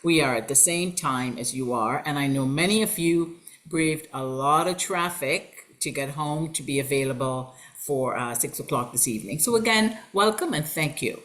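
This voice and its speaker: A woman's voice: deep voice, normal speed, fairly bright tone. An upbeat, welcoming woman hosts an online information session for a college. She speaks over a video call mic and fills her sentences with ums and uhs. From Trinidad and Tobago. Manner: upbeat and welcoming, with frequent fillers. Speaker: college recruitment representative and host.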